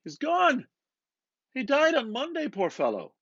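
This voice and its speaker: A man's voice: very high voice, huge pitch swings, a little slow, creaky, a bit bright, husky, no room sound, lo-fi sound, pitched above the performer's own voice, heavily acted. A man voicing a chatty, bluff, gossipy Dublin man in an acted reading of a novel, talking in emphatic storytelling bursts. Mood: gossipy, mournful.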